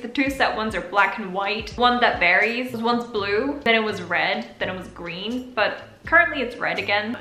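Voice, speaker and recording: A young woman from Switzerland with an English accent that shifts between American and British, chatting upbeat through a vlog Q&A. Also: on the high side. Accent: mix of American and British English. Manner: chatty and upbeat, trails off with soft asides. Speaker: YouTuber answering viewer questions. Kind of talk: casual Q&A vlog. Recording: echoey room.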